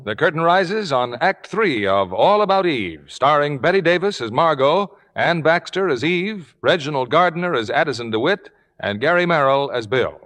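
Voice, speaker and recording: A man announcing in polished, formal 1950s radio style, as host reading sponsor pitches and cast introductions. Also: expressive, normal speed, a bit bright.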